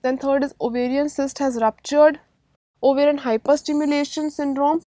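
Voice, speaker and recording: A woman's voice: sits high, flat delivery, normal speed, warm tone, dry recording. A woman with an Indian accent giving a medical lecture in an emphatic teaching manner, repeating key terms and checking that students follow. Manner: didactic, emphatic repetition, frequent check-in questions. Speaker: radiology lecturer. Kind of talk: medical exam-prep lecture.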